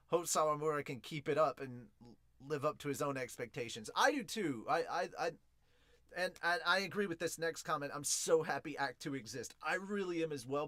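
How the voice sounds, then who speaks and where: A man hosting a podcast in an excitable, informal, slangy way, chatting casually as he reviews and reads out comments. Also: on the high side, no room sound.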